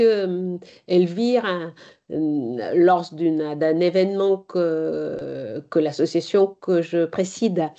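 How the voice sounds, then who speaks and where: A middle-aged woman from Italy, speaking as a guest at a conference with measured, hesitant delivery. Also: lowish voice, mellow. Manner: measured, hesitant with many pauses. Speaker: board chair and guest speaker. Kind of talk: conference talk. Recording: slightly dull lo-fi sound.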